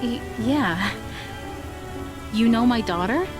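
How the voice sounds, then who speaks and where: A woman's voice: a little high, animated, takes it slowly, music in the background. A woman playing a worried, uncertain mother in an audio drama, speaking tentatively. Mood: confused, uneasy.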